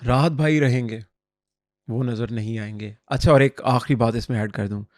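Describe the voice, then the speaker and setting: A man talks bluntly and with emphasis, his pitch in an ordinary middle range. The sound is dry and close, with almost no room around it. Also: moderate pace, a little mellow, no echo. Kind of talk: casual interview talk.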